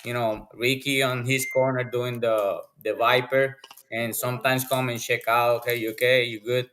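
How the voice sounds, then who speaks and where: A man in his forties from Puerto Rico with a Puerto Rican accent, chatting excitedly as a podcast guest over a video call and often restarting his sentences. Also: mid-range voice, normal speed. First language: Spanish. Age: 40s. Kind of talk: casual chat.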